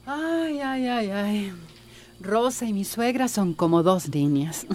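An actress playing a kindly, sensible housewife in a radio drama, with a Central American accent. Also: female voice, medium pitch, lively intonation, slow pace, darkish tone, a little hiss or hum. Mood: amused, weary. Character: kindly, sensible pregnant daughter-in-law running a household.

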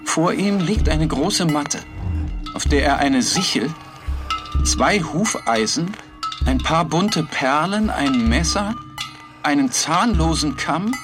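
Sombre literary narrator, very slow descriptive delivery, music clearly underneath. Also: male voice, on the high side, animated. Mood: sombre observation. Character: sombre male narrator observing a squalid street. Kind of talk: literary audio drama narration. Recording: music behind the voice.

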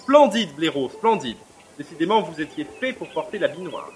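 An actor playing a smooth-talking, aloof provincial lawyer in an audio drama, formal and urbane. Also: male voice, on the high side, big pitch swings, normal speed. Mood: flattering. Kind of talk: French audio drama acting.

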